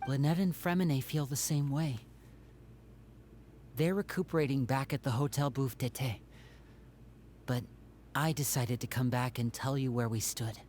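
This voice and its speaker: A man's voice: mid-range voice, a little mellow, a little hiss or hum, natural voice. An actor voicing an earnest, respectful young man, a loyal eldest son, in a video game. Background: game score music.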